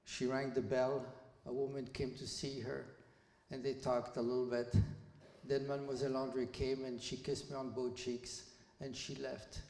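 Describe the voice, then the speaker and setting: A man of around 80, from Belgium, giving a personal testimony to students as a guest speaker in a school hall, telling his story in a measured, plain way with small restarts. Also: medium pitch, little pitch movement, a little mellow. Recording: echoey hall reverb.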